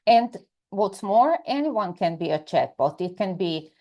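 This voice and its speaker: A woman whose first language is Croatian, presenting in English with a Croatian accent, pausing often on filler sounds and restarting phrases, over a video call. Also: medium pitch, expressive, lo-fi sound. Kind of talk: online presentation.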